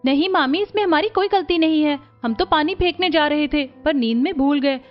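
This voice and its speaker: A woman's voice: sits high, fairly quick, dull audio, faint music behind, voice pushed up, natural voice. A woman voicing a dutiful, defensive young elder sister in a cartoon story.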